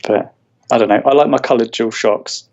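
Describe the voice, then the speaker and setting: A man co-hosting a podcast, easygoing and wry, giving relaxed answers in casual chat. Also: medium pitch, moderate pace, lo-fi sound. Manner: easygoing, wry, short replies. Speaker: podcast co-host.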